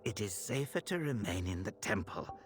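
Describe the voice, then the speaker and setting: A man playing a grave, compassionate, scholarly temple healer in a video game, with formal, thoughtful, measured delivery. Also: on the low side, big pitch swings, background noise. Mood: concerned, measured. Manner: solemn, formal, thoughtful, old-fashioned diction. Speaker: video game character voice actor. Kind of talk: scripted game dialogue, dramatic and measured.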